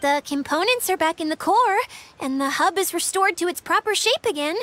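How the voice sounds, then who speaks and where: A woman's voice: very high-pitched, animated, a little fast, fairly bright tone, a little hiss or hum. A woman voicing a perky, easygoing young girl guide in an anime game, with a chirpy, breezy manner. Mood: explaining.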